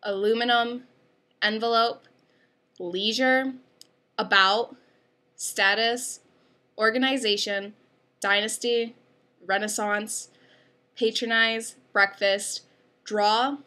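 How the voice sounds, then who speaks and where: Bright-voiced chatty young woman vlogger. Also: a little high, fairly even intonation, takes it slowly, pauses often. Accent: upstate New York. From upstate New York. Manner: chatty, rambling with many fillers. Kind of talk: casual accent tag video.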